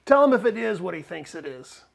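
A man's voice: high-pitched, very animated, fairly quick, gravelly, heavily acted. A man playing a teasing older buddy who needles people by mockingly repeating their words.

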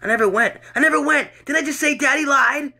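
A man voicing a volatile, defensive psychiatric patient who snaps back at his doctor in a high, strained shout. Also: fast talker, vocal fry, full character voice. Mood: agitated.

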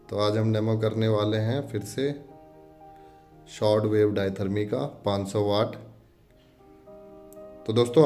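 A man with a fairly low voice presents an informal demonstration for a video channel. He talks viewers through each step in an instructional way, addressing them as friends. Faint music plays behind him. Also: dark tone. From India.

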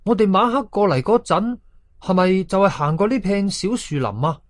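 Man doing a spooked young boy apprentice, high-pitched. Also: expressive, moderate pace, no room sound. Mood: uneasy. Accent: Hong Kong Cantonese. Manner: uneasy, blurting.

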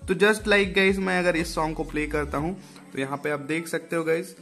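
A man's voice: a little high, fairly even intonation, normal speed, over loud music. A man with an Indian accent hosting a casual step-by-step phone tutorial, conversationally addressing viewers and repeating his instructions. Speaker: tech tutorial host.

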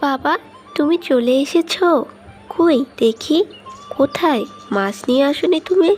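Woman voicing a high, sweet little village girl. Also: lively intonation, a little slow, a little mellow, soft background music. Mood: eager, questioning. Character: sweet, dutiful young village daughter. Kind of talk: Bengali moral-tale cartoon dub.

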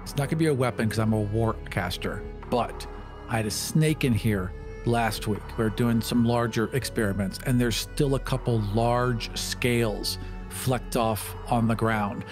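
A man with a medium-pitched voice plays a tabletop game, casually narrating what his character does. There is faint music behind him. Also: steady tone, moderate pace.

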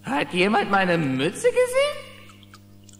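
An actor voicing a naive, good-natured, rather dim wildcat in a children's cartoon radio play. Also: male voice, high-pitched, wildly swooping pitch, takes it slowly, a bit bright, heavily acted. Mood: naive, cheerful. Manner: naive, goofy. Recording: echoey hall reverb, faint music.

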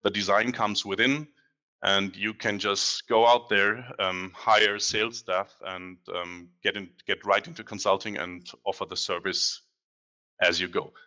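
Man, thirties, casual software demo, headset mic, forceful tone, lowish voice. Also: fairly even intonation. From Germany. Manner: conversational, frequent "um" pauses, self-correcting. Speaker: presenter at online meetup. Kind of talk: casual product demo presentation. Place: dim indoor room in front of a large screen.

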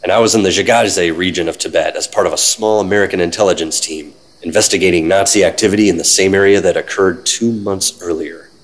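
An actor playing a secretive, world-weary 1950s government agent, terse and deadpan, narrating an old-time radio drama. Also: male voice, deep voice, fast talker, hardly any pauses, natural voice. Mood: weary, reflective. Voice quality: husky. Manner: terse, deadpan, hard-boiled.

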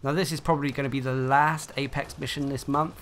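A man's voice: mid-range voice, little pitch movement, quick pace, a bit bright, slight background noise. A man hosting a gaming channel, narrating a scripted information video.

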